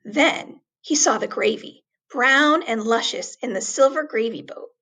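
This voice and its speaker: A woman reading a children's story aloud expressively, with deliberate, dramatic emphasis on words. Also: a little high, pushed and bright.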